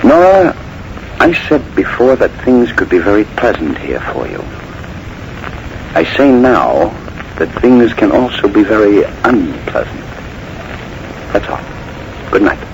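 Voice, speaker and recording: An actor playing a menacing, short-tempered, scheming husband and playwright in a 1940s radio mystery. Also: male voice, on the low side, very animated, takes it slowly, long pauses, muffled recording, full character voice. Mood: smooth, menacing. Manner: smooth veiled threats swinging to irritated insistence. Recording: noisy vintage 1940s radio broadcast.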